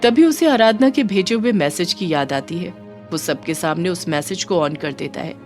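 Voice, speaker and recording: A woman's voice: fairly low pitch, lively intonation, normal speed, a little mellow. Woman narrating a melodrama over music. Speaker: story narrator. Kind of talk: scripted storytelling narration for a melodrama. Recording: music bed behind the voice. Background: background music.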